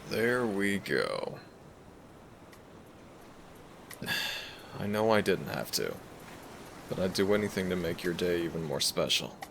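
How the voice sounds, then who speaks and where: A man playing a gentle young dragon prince, a devoted boyfriend talking tenderly to the listener in a romantic audio roleplay. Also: low-pitched, very animated, moderate pace, creaky, a little hiss or hum, full character voice. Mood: warm, attentive. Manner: tender, affectionate, soft-spoken reassurance. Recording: music behind the voice. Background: background music.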